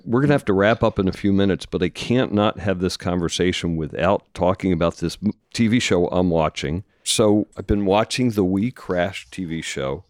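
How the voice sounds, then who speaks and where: Very deep-voiced male host, brisk and eager. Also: darkish tone, no echo, clean studio sound. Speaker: host and moderator. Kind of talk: moderating a panel.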